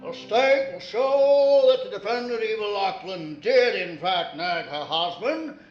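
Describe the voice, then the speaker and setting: Man playing stern prosecutor, old radio courtroom, slow delivery, echoey, faint music. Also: high-pitched, animated, lo-fi sound. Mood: accusing, forceful. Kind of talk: 1950s radio melodrama acting.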